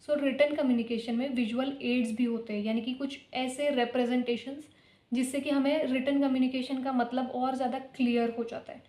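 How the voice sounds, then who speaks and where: A woman's voice: sits high, steady tone, brisk, darkish tone, reverberant. A young woman teaching an exam-prep lecture, explaining each point through lists of examples and switching between two languages mid-sentence. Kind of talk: exam-prep lecture mixing Hindi and English.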